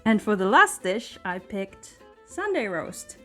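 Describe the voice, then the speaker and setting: A woman's voice: medium pitch, sing-song, slow, a bit bright. A woman from Japan with a Japanese accent, hosting a casual chat in English for language learners. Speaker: host. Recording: music behind the voice. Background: guitar music.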